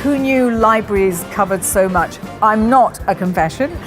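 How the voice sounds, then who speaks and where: A woman's voice: mid-range voice, normal speed. A woman giving enthusiastic, gushing casual remarks as a conference attendee in a recap video. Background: music.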